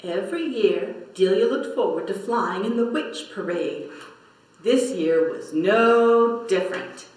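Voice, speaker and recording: A woman reading a picture book aloud to young children in a measured, expressive storytelling manner. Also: medium pitch. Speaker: library story time reader. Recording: very echoey room, slightly distant mic.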